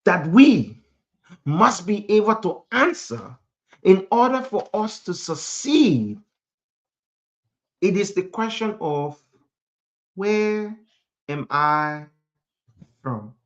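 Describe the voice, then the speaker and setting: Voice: mid-range voice, sing-song, slow, pauses often. A speaker from Africa preaching a motivational sermon in an emphatic manner, repeating phrases and posing rhetorical questions.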